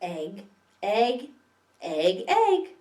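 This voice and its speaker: A woman's voice: mid-range voice, sing-song, drawn-out words, mellow. A woman reading picture books aloud to young children as a library story time host, expressively, with questions to her listeners. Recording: echoey room, distant microphone.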